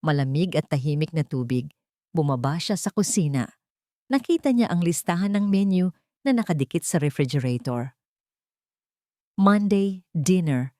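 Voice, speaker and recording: A Filipino woman narrates a dramatic audiobook in a fairly low voice. Her intonation moves widely and she sets out the story in deliberate, suspenseful beats. The sound is dry and close, with little room on it. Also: expressive, warm tone, no echo.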